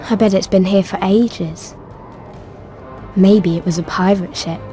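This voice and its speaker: A woman's voice: mid-range voice, steady tone, measured pace, close to an everyday voice. Woman voicing an eager, bold young girl, music behind. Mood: eager, determined. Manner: eager, headstrong. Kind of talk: video game voice acting.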